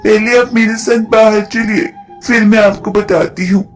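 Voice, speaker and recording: A woman's voice: mid-range voice, fairly even intonation, moderate pace, soft background music, natural voice. A performer playing a kindly, insistent village wife, a woman in a cartoon story. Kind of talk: Hindi cartoon story dubbing.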